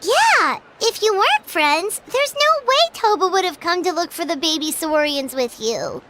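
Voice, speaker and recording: A woman voicing a tiny, excitable female fairy companion in a video game, high and chirpy. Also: normal speed, very noisy. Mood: gently scolding. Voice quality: high, chirpy, refers to herself in third person. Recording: game audio with background music.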